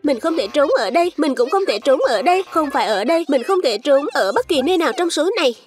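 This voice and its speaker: A woman's voice: high voice, animated, fast talker, soft background music. A woman voicing a vain, stubborn little strawberry pupil in a children's cartoon dub, emphatic and self-important. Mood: determined, anxious.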